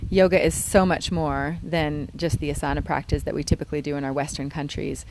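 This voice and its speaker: A woman's voice: low voice, level tone, brisk, darkish tone, a little hiss or hum, rough recording. A woman from Canada giving an earnest, fluent testimonial. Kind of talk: short testimonial.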